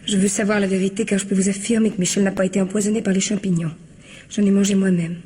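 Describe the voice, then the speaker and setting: A woman playing an earnest, softly hesitant widow confiding in the police in a radio mystery drama. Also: medium pitch, little pitch movement, fast talker, slight background noise, natural voice.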